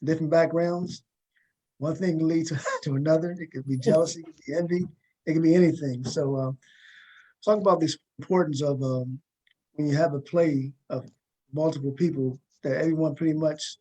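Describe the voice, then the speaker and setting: A man with a fairly high voice hosts a casual panel chat. He stammers into his sentences, repeating words before getting to the point. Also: mellow, rough recording. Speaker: talk show host and actor.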